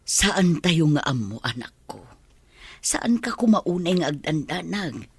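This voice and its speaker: Husky, consoling older mother. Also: deep voice, very animated, unhurried, exaggerated voice. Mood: concerned, consoling. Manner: soothing, concerned. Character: consoling, devout older mother of a grown son. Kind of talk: Ilocano radio drama acting.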